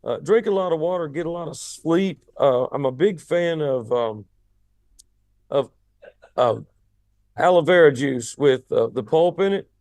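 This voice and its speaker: Raspy, gravelly man, rock vocalist guest; casual, stumbling restarts; fairly high pitch, dry close sound. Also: measured pace, darkish tone, no room sound. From United States. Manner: rambling, repeats words when starting sentences. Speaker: rock band lead singer, guest. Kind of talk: casual interview chat.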